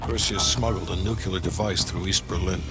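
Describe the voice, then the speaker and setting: An actor voicing a cold, secretive spymaster. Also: male voice, low voice, steady tone, loud music behind the voice, close to an everyday voice. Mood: guarded. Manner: clipped, evasive, measured. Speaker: intelligence officer.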